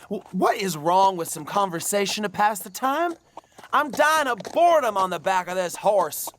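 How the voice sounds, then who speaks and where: A cocky young frontier fortune seeker in an audio drama. He is talkative and wheedling, with a lively, swooping pitch and faint background noise. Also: moderate pace. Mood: complaining. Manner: talkative, wheedling, stammers when challenged.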